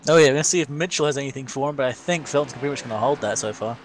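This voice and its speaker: A man's voice: medium pitch, very fast. A man giving chatty, informal co-commentary on sim racing.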